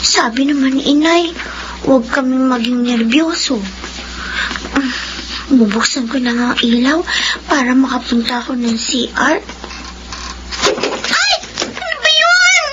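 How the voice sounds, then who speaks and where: An actress playing a timid, easily frightened little daughter in a Filipino radio drama. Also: female voice, high voice, lively intonation, measured pace, pauses often. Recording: dull, noisy lo-fi radio.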